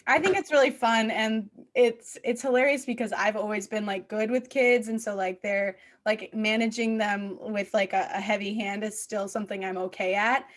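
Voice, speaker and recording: A woman's voice: medium pitch, fairly even intonation, moderate pace, few pauses. A woman hosting a podcast interview in a casual, chatty and informal way, with plenty of slangy filler. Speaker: podcast host and children's theater director.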